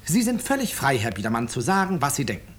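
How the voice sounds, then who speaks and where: An actor playing an urbane, ironic author who narrates his own story in a 1950s German radio drama, courteous and deliberate. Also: medium pitch, huge pitch swings, fairly bright tone. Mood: suave, ironic.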